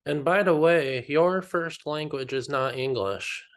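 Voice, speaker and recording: A man's voice: on the high side, measured pace. A man hosting a podcast, asking questions casually and reacting with emphatic enthusiasm. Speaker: podcast host. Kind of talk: casual interview.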